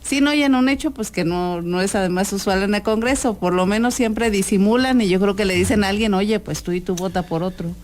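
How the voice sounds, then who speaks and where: A woman's voice: mid-range voice, a little fast, hardly any pauses, darkish tone. A woman with a Mexican accent giving a conversational live news report, hesitant and discursive as she goes.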